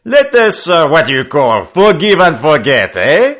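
A shrill, high-pitched French sea captain, bullying and sly. He speaks broken English with theatrical exclamations and wide, swooping intonation, as in an adventure audiobook. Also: huge pitch swings, a little slow, a bit bright, heavily acted. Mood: falsely jovial. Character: bullying French sloop captain, wrathful, mockingly sly thief. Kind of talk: audiobook character acting.

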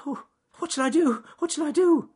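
A man voicing a small, panicky young male rabbit with a squeaky, anxious voice in a storybook reading. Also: fairly quick. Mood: panicked. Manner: anxious, high-pitched shouting.

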